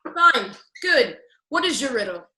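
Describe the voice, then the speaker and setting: A woman's voice: high voice, very animated, unhurried, bright, forceful tone, reverberant, exaggerated voice, rough recording. A woman playing a headstrong, naive young fairy-tale girl with exaggerated modern sass in a comic radio play. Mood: sassy.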